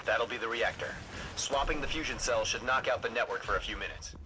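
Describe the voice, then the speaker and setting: An actor playing a pragmatic scout in a video game, giving mission briefings in a dry, matter-of-fact way. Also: male voice, medium pitch, brisk, background noise, close to an everyday voice. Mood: focused briefing.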